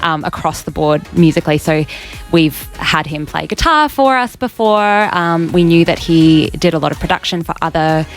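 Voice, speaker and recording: A woman's voice: deep voice, moderate pace, soft background music. An Australian woman, a band member and guest on a radio interview, talks in a dry, teasing way.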